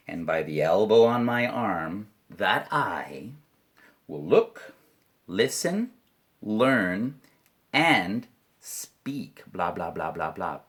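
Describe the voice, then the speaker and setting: A Canadian man teaching an online English lesson to children, playful, leading chants slowly and clearly. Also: lowish voice.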